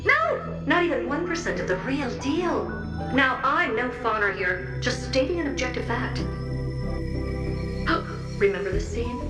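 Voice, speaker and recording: A woman's voice: high voice, sing-song, unhurried. A woman voicing a playful, theatrical female storyteller in a game, bubbly and teasing, full of coy asides. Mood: gushing. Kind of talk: performed game narration addressed to the player. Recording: roomy echo, distant, under loud music.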